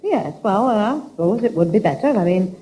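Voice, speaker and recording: A woman playing a brisk, scolding mother in a 1960s radio sitcom. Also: mid-range voice, expressive, dark tone, lightly acted, low-quality recording. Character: harried mother of the household. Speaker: mother character.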